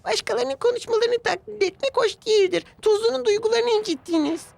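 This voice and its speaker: A man's voice: very high-pitched, a little fast, gravelly, no echo, pitched above the performer's own voice. A man voicing a prim, protective female steam engine in a children's cartoon story, speaking in a firm, reproachful manner. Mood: indignant.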